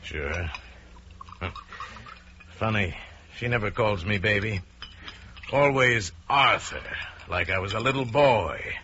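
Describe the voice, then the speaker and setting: Low-voiced brooding radio-thriller husband. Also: male voice, sharp tone, slight background noise. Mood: assured, intimate. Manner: measured, deliberate, dramatic pauses. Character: married man coolly plotting to kill his wife. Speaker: narrator and lead character. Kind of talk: mid-century radio crime drama acting and narration. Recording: dull old radio recording, music underneath.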